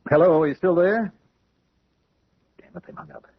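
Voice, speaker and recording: A man's voice: sits high, moderate pace, slightly rough. An actor with an American accent playing an agitated, obsessed man bent on payback in an old-time radio drama, speaking urgently and insistently. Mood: harried. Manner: urgent, insistent, stammering under stress. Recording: muffled vintage radio broadcast recording.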